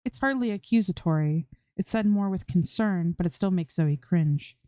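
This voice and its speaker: Mellow low-voiced woman reading a story. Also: normal speed, no echo. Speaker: fan fiction reader. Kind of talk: scripted story narration, audiobook reading.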